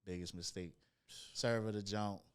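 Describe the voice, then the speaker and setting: Young guy w a nasal voice, kinda low, smooth and clean on a podcast mic, chill slangy storytelling. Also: fairly even intonation, normal speed. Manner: laid-back slang-heavy storyteller. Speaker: interview guest, rapper. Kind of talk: casual interview storytelling. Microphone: studio podcast mic near the nose.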